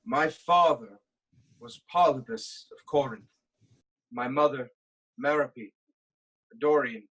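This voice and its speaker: A performer playing a proud, anguished king haunted by prophecy, in a grave, deliberate dramatic reading of Greek tragedy. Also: medium pitch, a bit bright, slightly rough, low-quality recording. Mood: grave, brooding.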